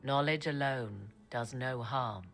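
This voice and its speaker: Enigmatic courtly witch: deep female voice, disdainful and formal, theatrical game dialogue over soft score. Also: slow, faint music behind, exaggerated voice. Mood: sly, assured. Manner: arch, theatrical, archaic formal diction. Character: haughty, sly, enigmatic sorceress of the court.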